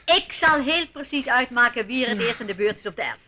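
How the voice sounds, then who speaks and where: An actress playing a brisk, eccentric older aristocratic aunt in a radio play, chatty, commanding and fondly scolding. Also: female voice, fairly high pitch, expressive, noisy background, natural voice. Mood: soothing, officious.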